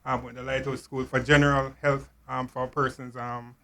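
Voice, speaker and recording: A man speaking deliberately and somewhat formally, unscripted, as a health professional guest in an interview. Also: mid-range voice, level tone, pushed and bright. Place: studio. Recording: clear background noise, room ambience.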